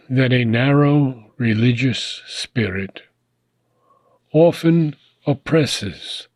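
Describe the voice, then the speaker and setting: A man reading a philosophical book aloud in a measured, solemn, deliberate manner, pausing often between phrases. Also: mid-range voice, darkish tone, husky, dry recording. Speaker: audiobook reader.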